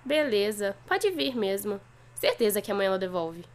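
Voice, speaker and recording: A woman's voice: mid-range voice, expressive, moderate pace, a little hiss or hum, lightly acted. A woman playing a sly, evasive young schoolgirl making casual excuses in a radio drama, with a Brazilian accent. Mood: feigned innocence.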